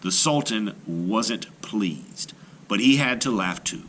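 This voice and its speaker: Male storyteller for children's read-aloud: bright, mid-pitched, expressive intonation, faint noise behind. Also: slow. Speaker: storyteller, art teacher.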